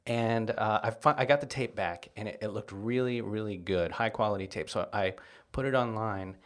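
A man in his forties, a comedian guest chatting casually on a podcast, telling animated stories with wry jokes and frequent laughs. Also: lowish voice, normal speed.

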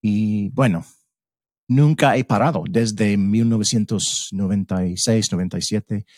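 A middle-aged American man with a fairly low voice and an American English accent tells his story slowly. He pauses often to find his words in his second language, and the sound is close and dry with no room around it. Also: a little mellow, no echo. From Mississippi, USA. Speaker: podcast guest. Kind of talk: casual interview chat.

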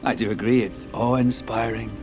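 An actor playing a commanding, visionary tech mogul in an audio drama, presenting with theatrical showmanship. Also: male voice, mid-range voice, steady tone, moderate pace, over music, lightly acted. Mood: grandiose, commanding.

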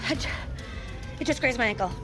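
A woman acts a tough female thief on the run in an audio drama. Her very high voice swings widely and sharply, combative and defiant, over clear background music. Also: lively intonation, fast talker, close to an everyday voice. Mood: defiant, urgent.

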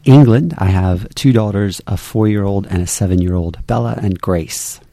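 A man in his forties who works as a pastor, introducing himself slowly and measuredly. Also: on the low side, warm tone, no echo. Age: 40s.

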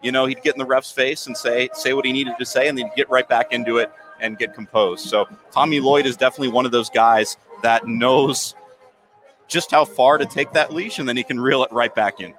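A man's voice: mid-range voice, steady tone, brisk, a bit bright, faint music behind, low-quality recording. Man co-host breaking down basketball. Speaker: sports show co-host. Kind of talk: casual sports analysis.

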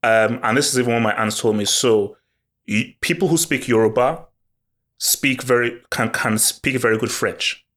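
A man co-hosting a casual football podcast, talking in an animated way and repeating words when he gets excited. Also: mid-range voice, moderate pace. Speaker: podcast co-host.

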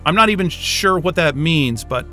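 An actor playing an irreverent, wisecracking astrophysicist in a sci-fi audio drama, with a sarcastic, informal and exasperated way of talking. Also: male voice, fairly high pitch, animated, normal speed, bright, forceful tone, soft background music. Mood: bewildered. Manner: sarcastic, informal, hesitant muttering and outbursts.

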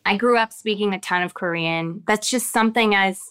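An American woman, an actress with children of her own, giving reflective interview answers with many pauses and restarts. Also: medium pitch, a bit bright, smooth. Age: adult with children. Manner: thoughtful, halting, many restarts and fillers.

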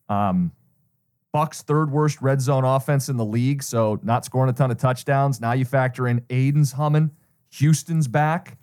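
A man's voice: medium pitch, a little mellow. An American man co-hosting a sports betting show, talking through his analysis in a methodical, explanatory way with plenty of statistics.

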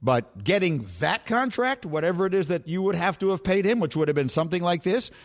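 A middle-aged man hosting a sports talk show, telling stories with animated self-mocking humor. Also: high-pitched, brisk. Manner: animated storytelling, laughs at himself.

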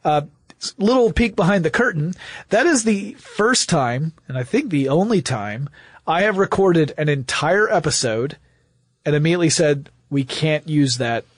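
An American man talks as a podcast host in a fairly high male voice. He is chatty and wordy, with a playfully mock-grand way of putting things. The sound is dry, with no room around it. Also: animated, normal speed, no echo. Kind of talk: conversational podcast hosting.

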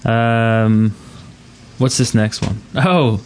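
A man's voice: medium pitch, steady tone, extremely slow, darkish tone, clear, resonant tone. A man co-hosting a podcast in casual group chat. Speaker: podcast co-host.

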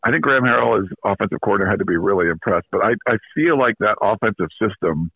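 A man's voice: fairly low pitch, lively intonation, vocal fry, slightly rough, dry recording. Man on a tinny phone line. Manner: quick, casual, repeats words and laughs lightly. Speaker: interview guest, sports reporter. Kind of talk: phone interview.

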